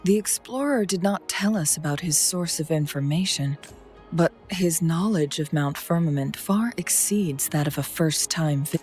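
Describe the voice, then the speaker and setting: A composed female advisor from a video game, acted by a woman, with a fairly low voice. She speaks formally and deliberately, as a perceptive strategist would. Music plays behind her. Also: a little mellow, close to an everyday voice. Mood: composed, serious. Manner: measured, formal, articulate. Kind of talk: scripted video game dialogue.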